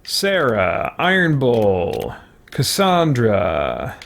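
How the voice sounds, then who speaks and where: A man's voice: mid-range voice, huge pitch swings, very slow, vocal fry, fairly bright tone. A man in his thirties giving casual commentary as he plays a video game, recorded close up indoors. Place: indoor room with shelves at home. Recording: faint game noise and clicking behind.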